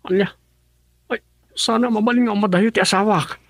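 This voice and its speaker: A man's voice: high-pitched, steady tone, moderate pace, fairly bright tone, no echo, clean studio sound. A man with an Ilocano accent playing a distraught husband searching for his wife in a radio drama, agitated and questioning. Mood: distressed.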